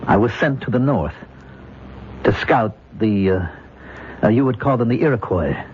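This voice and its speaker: Halting, bewildered scout, acted by a man, muffled radio. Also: on the low side, animated, darkish tone, close to an everyday voice. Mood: solemn, halting. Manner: halting, solemn, searching for words. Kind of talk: old-time radio drama acting. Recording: muffled, hissy old radio recording.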